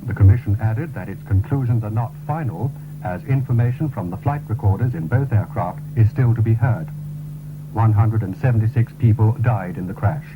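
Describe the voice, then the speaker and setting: A man reading a formal, scripted radio news bulletin in a measured way, on a 1970s broadcast. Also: fairly low pitch, over music. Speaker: radio newsreader. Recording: tinny, narrow-band 1970s radio broadcast recording.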